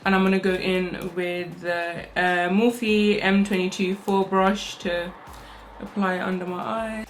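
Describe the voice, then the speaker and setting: A young woman talks quickly and casually as she does her makeup at home. Her voice still sounds sleepy. Faint music plays in the background. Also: mid-range voice, level tone. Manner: fast, chatty, rushed talk with fillers. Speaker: makeup vlogger. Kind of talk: casual get-ready-with-me makeup tutorial. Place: home, indoors. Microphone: own microphone.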